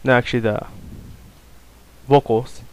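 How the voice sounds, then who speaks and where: Clear, even-toned man giving a tutorial. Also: medium pitch, unhurried. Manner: informal, unscripted step-by-step instruction. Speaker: tutorial presenter. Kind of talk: casual step-by-step software tutorial.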